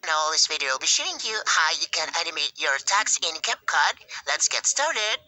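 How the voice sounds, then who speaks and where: A woman's voice: low-pitched, big pitch swings, normal speed, bright, forceful tone, low-quality recording. A woman reading a scripted tutorial intro, altered by a comic voice-changer effect. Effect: comic voice-changer filter.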